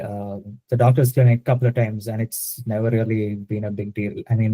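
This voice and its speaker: Very dark-voiced casual young man. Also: medium pitch, rough recording. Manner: relaxed, casual. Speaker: student answering questions. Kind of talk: casual Q&A on a call.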